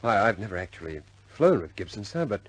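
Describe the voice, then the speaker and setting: Loyal RAF-style officer, husky, lively intonation, understated and deferential, for a vintage radio war drama. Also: male voice, lowish voice, quick pace, no room sound. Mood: earnest. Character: loyal, respectful male air force officer, frankly understated. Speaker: squadron pilot reporting to his superior.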